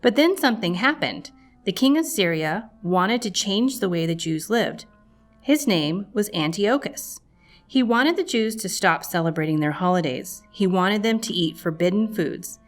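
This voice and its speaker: A woman's voice: medium pitch, expressive, normal speed. A woman reading a scripted storybook narration for children. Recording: music playing behind the voice. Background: music.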